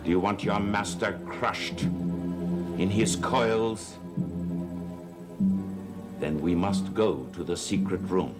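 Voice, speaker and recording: A man's voice: low-pitched, slow, long pauses. An actor playing a scheming man who wheedles a servant into helping him, in a 1960s soap opera. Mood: insinuating. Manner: insinuating, wheedling. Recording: old TV soundtrack with loud music.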